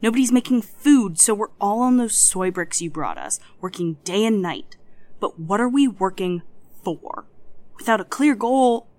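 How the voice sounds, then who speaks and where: An actress playing a wary, frustrated hacker collective coordinator in an audio drama, plain-spoken, hesitant and exasperated. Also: female voice, mid-range voice, animated, normal speed, darkish tone, no room sound, lightly acted. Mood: pleading, frustrated.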